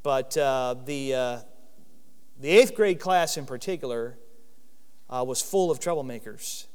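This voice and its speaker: A man with a loud voice giving an earnest Bible lesson in sermon style, stressing key words slowly. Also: mid-range voice, animated, clean tone, in a large hall. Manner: earnest, emphatic with slow stressed phrases. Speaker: Bible teacher.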